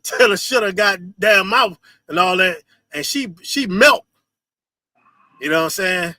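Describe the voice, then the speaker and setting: A man hosting a live stream, reacting to a video with loud, profane, slangy preaching and asking "you understand?" again and again. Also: sits high, no room sound, studio quality. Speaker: host of a street preaching talk stream. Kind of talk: casual commentary reacting to a video.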